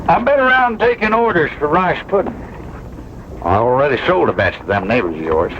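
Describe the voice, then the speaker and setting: Folksy, high-pitched cowhand peacemaker, played by a man. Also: expressive, brisk, highs cut off, lightly acted. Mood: coaxing. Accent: rural American western. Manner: folksy, wheedling, colorful. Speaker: actor. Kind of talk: mid-century western drama acting. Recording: noisy old western soundtrack.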